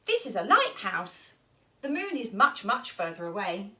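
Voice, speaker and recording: A woman's voice: mid-range voice, very animated, unhurried, sharp tone, far from the mic, full character voice. A woman voicing a wise old crab in a children's storybook, kindly and encouraging.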